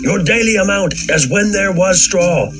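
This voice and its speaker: A man's voice: high-pitched, normal speed, lightly acted. A man playing a harsh, bullying Egyptian slave driver who gives demanding orders in an audio drama. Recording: music under the voice.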